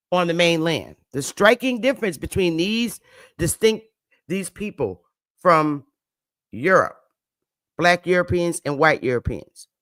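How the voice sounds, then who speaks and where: Woman, Northern US accent, livestream host, slow deliberate pace, lively rising and falling pitch, dry close sound. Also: no room sound. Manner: preachy, emphatic, repeats points and asks chat to respond. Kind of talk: livestream talk to viewers.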